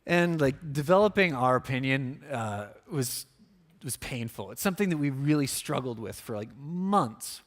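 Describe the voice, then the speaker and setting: A man's voice: mid-range voice, lively intonation, normal speed. A man giving a business conference talk as a product marketer, speaking informally with emphasis on key words and casual asides.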